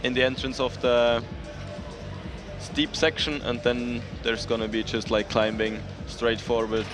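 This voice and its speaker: Man, event commentator, casual live delivery, even intonation, non-native English phrasing, music in the background. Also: medium pitch, unhurried. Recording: music playing behind the voice.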